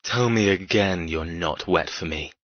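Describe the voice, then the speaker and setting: A man playing a menacing, seductive lover in an audiobook romance, slow and controlled, with a commanding manner. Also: low voice, fairly bright tone, no echo, highs cut off.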